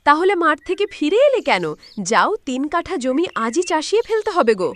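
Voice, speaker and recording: A woman's voice: high voice, big pitch swings, brisk. A woman voicing a bossy, greedy farmer's wife in a cartoon moral story, scolding and insistent. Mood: pushy, eager. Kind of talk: animated moral story voice acting.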